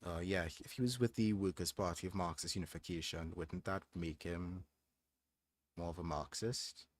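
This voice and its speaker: A young man talks into a close mic on his livestream, his voice sitting low in his chest. He reads passages aloud and then drifts into reflective chat, full of ums and self-corrections. The sound is dry and close. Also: fairly even intonation, moderate pace, dry recording. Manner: thoughtful, hesitant, many restarts and ums. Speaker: livestream host.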